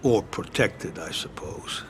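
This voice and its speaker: An actor playing a level-headed middle-aged mob lawyer and advisor who speaks calmly and in a measured way in a game cutscene. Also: male voice, extremely low pitch, a little hiss or hum, exaggerated voice.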